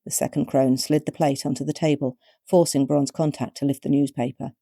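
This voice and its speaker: British woman, story narration, low pitch, dark mellow tone, measured, wryly expressive. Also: vocal fry, no room sound, studio quality. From Britain. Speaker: author reading own short story.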